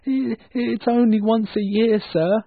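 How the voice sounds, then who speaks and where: A man's voice: sits high, steady tone, measured pace, gravelly, dry recording, voice pushed up, exaggerated voice. A man voicing a timid, frightened clerk who pleads and falters, in storybook style. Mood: fearful pleading. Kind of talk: storybook character acting. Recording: thin phone-like band-limited sound.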